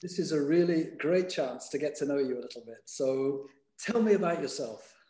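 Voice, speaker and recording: A man's voice: a little high, normal speed, dark tone, reverberant, poor audio. A man interviewing a guest, asking brief prompting questions. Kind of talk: conversational interview.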